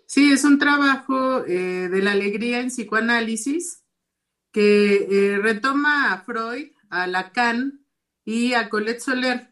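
A woman explaining an academic study in a steady manner, with frequent fillers. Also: fairly high pitch, takes it slowly.

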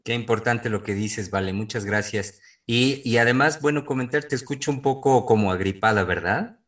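A man's voice: mid-range voice, normal speed, a bit bright. A man hosting a panel conversation in a conversational way. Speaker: host. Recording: voice distant from the microphone.

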